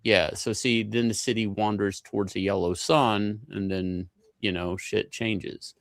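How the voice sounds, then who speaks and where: A man's voice: fairly low pitch, fairly even intonation, a little slow, no room sound, clean studio sound. A man giving blunt, sweary commentary in a casual livestream discussion.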